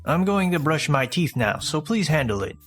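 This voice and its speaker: A woman's voice: low voice, big pitch swings, moderate pace, soft background music. A woman voicing a lazy, selfish husband with an offhand, dismissive manner in a manga dub. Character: lazy, selfish adult husband, casually entitled.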